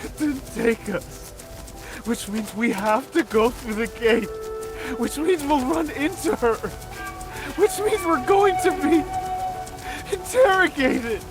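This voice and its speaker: Anxious, slow-spoken young disciple. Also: male voice, extremely high pitch, animated, full character voice. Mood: worried. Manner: hesitant, whiny, easily flustered. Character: anxious, flustered young male sect disciple. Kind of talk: dramatic game cutscene acting. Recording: music behind.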